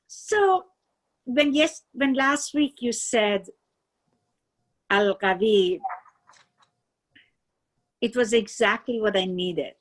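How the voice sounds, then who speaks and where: A woman with a Persian accent who shares a personal story in a halting, earnest way, with many restarts, as a class participant. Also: on the high side, poor audio. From Iran. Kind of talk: personal testimony.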